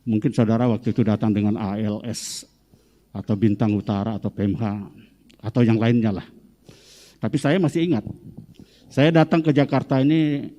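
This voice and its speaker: A Medan-accented man in his fifties preaches to his congregation, slow and deliberate, then swelling loud and high at the key lines. His tone is soft and mellow. The church hall gives his voice a long, lingering reverb. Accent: Medan Indonesian. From Medan, North Sumatra, Indonesia. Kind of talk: sermon to a congregation.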